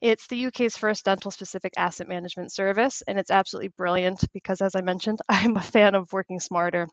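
A woman, slightly hoarse from a cold, presenting a webinar over a video call in a chatty, upbeat way. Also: medium pitch, steady tone, fast, dry recording. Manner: chatty, upbeat, frequent "you know". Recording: dry, slightly dull video call audio.

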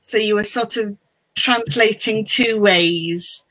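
A woman's voice: medium pitch, takes it slowly, a bit bright, poor audio. Middle-aged Welsh woman, native Welsh speaker, easygoing interview guest on a video call. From Wales. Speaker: guest, book mentor. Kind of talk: casual interview chat.

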